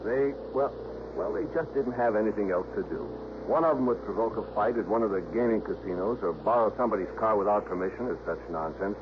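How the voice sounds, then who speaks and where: Male actor as earnest informant, low voice, deliberate storytelling, 1960s radio drama, dull recording, faint hiss. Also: natural voice. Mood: disapproving.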